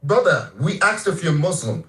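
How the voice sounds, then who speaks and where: Brash, taunting man with lively pitch. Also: normal speed, roomy sound. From Africa. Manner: brash, taunting, profane, slangy. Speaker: voice chat participant. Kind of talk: heated online group chat.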